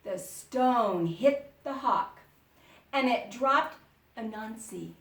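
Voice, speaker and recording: A woman's voice: fairly high pitch, expressive, slow. A woman reads a children's story aloud in a roomy, echoing space, a little away from the mic. She takes her time and performs it dramatically, stopping to explain words and ask the young listeners questions. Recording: roomy echo, distant microphone.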